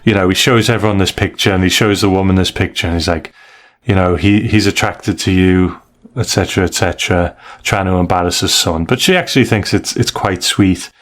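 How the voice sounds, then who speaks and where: A man's voice: low-pitched, a little fast. A man hosting a podcast, talking through stories in a casual, slightly hesitant conversational way. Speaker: podcast host. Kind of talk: casual comic review discussion.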